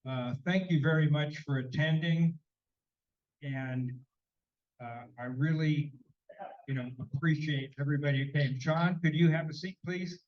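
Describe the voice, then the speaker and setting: A man co-hosting a neighborhood homeowners' meeting in a senior center hall, talking haltingly with many fillers and a slight cold. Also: fairly high pitch, fairly even intonation, highs cut off. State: sneezing, slightly congested. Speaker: meeting co-host.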